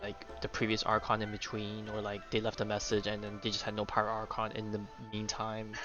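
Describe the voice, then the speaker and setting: Man, casual game streamer, lowish voice, rambling, musing aloud, dull lo-fi mic, game music behind. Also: monotone, a little fast. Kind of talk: casual live gameplay commentary. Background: video game music.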